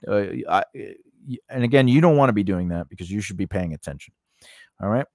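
A man's voice: fairly low pitch, animated, fairly quick, a little mellow, no echo. Casual man teaching, close dry sound. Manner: casual, conversational, peppered with "you know" and "all right". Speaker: screenwriting instructor. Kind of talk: informal instructional lesson, talking through an outline.

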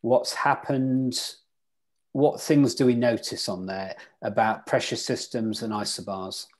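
A man's voice: medium pitch, steady tone, measured pace. A man teaching sailing weather in an online club lecture over a video call, quizzing his listeners as he goes. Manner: interactive, quizzes the audience.

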